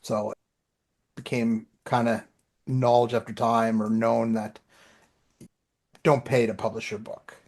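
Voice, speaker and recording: A man's voice: mid-range voice, little pitch movement, measured pace, long pauses, darkish tone. A man co-hosting a podcast, chatting casually and anecdotally in colloquial language, often acting out remembered exchanges. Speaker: podcast co-host and author.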